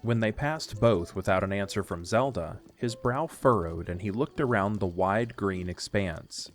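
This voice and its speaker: A man's voice: lowish voice, unhurried. A man reading scripted narration for an audio drama, telling a story in the third person.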